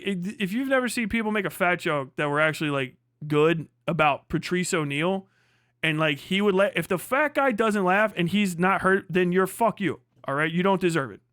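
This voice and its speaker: A man with a high, sharp voice rants casually as a livestream host, swearing freely and hammering points by repeating them. The sound is close and very dry, with a forceful edge to the delivery. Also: dry recording. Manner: profane, emphatic, repeats phrases for emphasis. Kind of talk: casual livestream commentary, comedic ranting.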